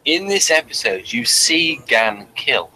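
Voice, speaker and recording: Bright-voiced man hosting an informal review show. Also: mid-range voice, sing-song, a little hiss or hum, poor audio. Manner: halting, digressive, self-correcting. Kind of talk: informal review chat, reading out a summary.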